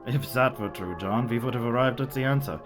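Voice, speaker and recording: A man's voice: medium pitch, fairly quick, music in the background, natural voice. A man playing a shrewd, secretive old foreign professor in a radio play, speaking formally with inverted foreign phrasing. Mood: probing.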